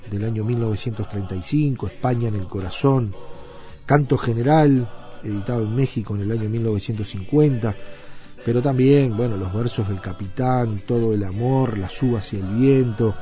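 Mellow male radio host over faint music. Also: mid-range voice. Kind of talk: presenting a list of literary works.

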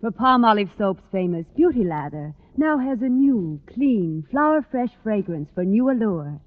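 A woman's voice: medium pitch, very animated, moderate pace. A woman reading a scripted commercial in a 1940s radio advertising style. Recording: thin, phone-like old radio recording.

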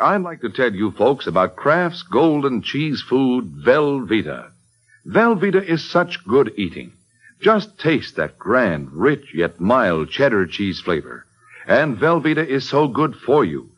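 A man's voice: mid-range voice, husky. A man with an American accent announcing and selling in polished 1940s radio style.

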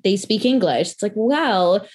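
A young woman with a Midwestern American accent talks in an upbeat, conversational way. She gets animated when telling stories and uses casual fillers. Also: mid-range voice, a little slow.

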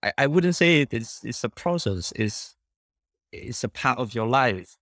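A man's voice: medium pitch, lively intonation, a little slow, fairly bright tone, no echo, clean studio sound. A young man whose first language is Cantonese, talking in a casual interview, rambling with many fillers and restarts.

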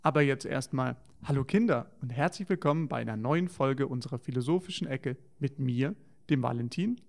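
Verspielter Moderator mit knarziger Stimme. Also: male voice, mid-range voice, a little fast, vocal fry. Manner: playful, wondering, child-directed. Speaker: children's show co-host. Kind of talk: scripted children's educational dialogue.

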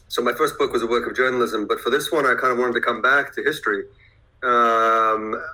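Bright-voiced man answering thoughtfully. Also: lowish voice, poor audio. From Iran, raised near London. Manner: thoughtful, hesitant with many fillers. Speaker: historian guest. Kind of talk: podcast interview answer.

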